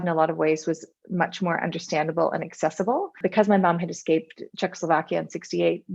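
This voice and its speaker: Middle-aged Canadian woman, Canadian accent, low gravelly voice, muffled lo-fi sound; reflective guest. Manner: reflective, storytelling with frequent "you know". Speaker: podcast guest. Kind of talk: casual conversational interview. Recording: muffled lo-fi audio.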